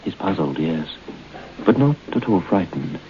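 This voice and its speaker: Very low-voiced ominous narrator. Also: male voice, very animated. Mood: suspenseful. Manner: deliberate, dramatic, suspenseful. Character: grave, ominous narrator of a ghost story. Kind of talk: old-time radio horror narration. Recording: muffled, noisy old radio broadcast.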